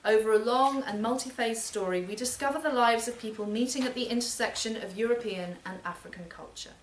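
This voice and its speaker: A woman's voice: on the high side, fairly quick. A woman hosting a live literary event, giving a scripted introduction for a reader. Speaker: host introducing a reading. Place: performance space in a library, live event. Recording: roomy, distant live recording with noise.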